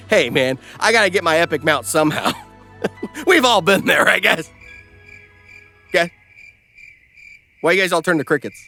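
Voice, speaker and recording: Bright, animated comedic recap narrator, male. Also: fairly high pitch, normal speed, pauses often, soft background music. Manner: wisecracking, animated. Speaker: narrator, host.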